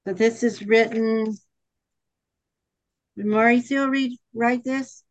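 A woman leading a casual group discussion, speaking hesitantly with frequent pauses and fillers. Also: on the high side, steady tone, no room sound.

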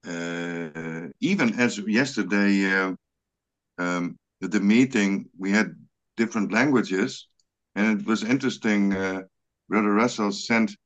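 A man whose first language is Frisian leads an online scripture study in English. His voice sits low and he talks very slowly, often stumbling over repeated words. The sound comes through a video call. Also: lo-fi sound. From Netherlands. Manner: halting, many repeated words and restarts. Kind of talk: online religious study group discussion.

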